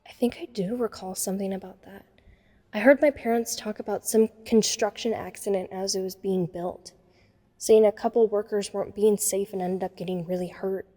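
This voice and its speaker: An introspective teenage girl narrator played by a woman, uneasy and reflective. She tells the story in measured phrases, with a mellow, mid-pitched voice. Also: steady tone, lightly acted. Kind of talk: first-person story narration in audio drama.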